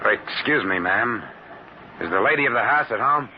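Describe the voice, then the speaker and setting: An actor playing a hesitant young private detective who stammers, in an old radio drama. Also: male voice, mid-range voice, expressive, moderate pace, close to an everyday voice. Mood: polite hesitant. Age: young adult. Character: nervous young private detective, drugged and scared. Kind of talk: 1950s radio drama acting. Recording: muffled old radio broadcast with hiss.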